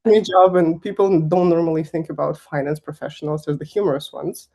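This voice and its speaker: Candid young woman, warm mellow voice. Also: low voice, fairly even intonation, fairly quick, dull audio. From Kazakhstan. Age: young adult. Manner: candid, laughs often, emphatic. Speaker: guest finance executive. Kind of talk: conversational interview answers.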